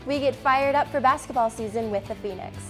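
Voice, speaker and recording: A young woman co-anchors a student sports show, reading her scripted lines in an upbeat way. Her voice sits fairly high. Soft music plays underneath. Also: fairly quick.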